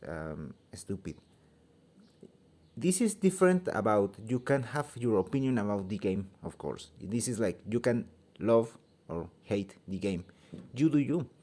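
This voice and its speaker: Mexican-accented man with a warm, low voice. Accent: Mexican Spanish. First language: Spanish. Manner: hesitant, many fillers and restarts, grows heated. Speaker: vlogger giving an opinion. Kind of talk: casual opinion monologue. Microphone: phone held by hand.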